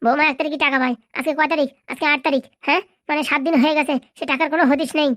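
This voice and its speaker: A woman's voice: sits high, fairly even intonation, rapid-fire, fairly bright tone, dry recording, studio quality. A woman voicing an angry, nagging wife and mother in a comedy cartoon, scolding and threatening. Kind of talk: Bengali comedy cartoon dialogue.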